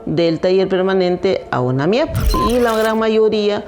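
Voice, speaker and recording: Woman, mid pitch, hesitant restarts, soft music behind. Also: expressive, unhurried. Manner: recounting events with hesitant restarts. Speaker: organization leader. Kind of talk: documentary interview.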